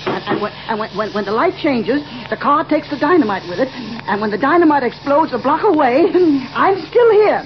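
A man's voice: extremely high pitch, sing-song, brisk, background noise. An actor playing a deranged male bomber who stammers, giggles and whimpers, in 1930s radio drama. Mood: giggling, scheming. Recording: dull old radio recording.

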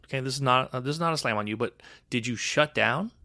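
Bright-voiced frank podcast host. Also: male voice, medium pitch, fast, vocal fry, no echo. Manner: chatty, frank, stumbling restarts. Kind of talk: casual chat.

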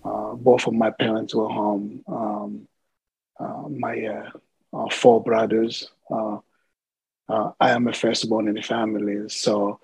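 A man from the Democratic Republic of Congo, a podcast guest telling his life story in a halting way, with many filler sounds. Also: mid-range voice, creaky, dark tone, slightly rough, dry recording, low-quality recording.

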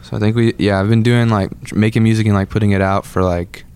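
Deep-voiced laid-back young man. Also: fairly even intonation, quick pace, darkish tone, clean tone. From Atlanta. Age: 20s. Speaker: musician guest. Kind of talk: casual interview chat.

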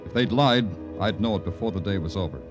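An American actor playing a dry, wry police lieutenant in a 1950s radio crime drama, with clipped, sardonic, deadpan delivery. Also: male voice, fairly low pitch, quick pace, lightly acted. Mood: wry, measured. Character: dry, wry male New York police lieutenant narrator. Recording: vintage radio recording with music behind.

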